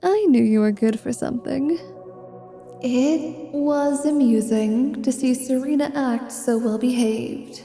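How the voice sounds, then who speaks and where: Slow, sultry vampire woman, acted by a woman. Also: high-pitched, mellow, faint music behind. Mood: playful, smug. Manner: slow, teasing, sultry delivery with drawn-out words. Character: flirtatious, mischievous female vampire paladin, teasing and freethinking. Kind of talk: ASMR roleplay voice acting.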